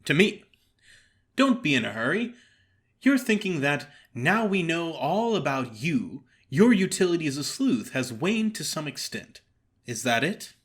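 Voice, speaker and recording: A man's voice: a little high, very animated, measured pace. A man playing a breezy, cajoling theatrical fellow who talks in an emphatic, teasing, persistent way for an audio drama.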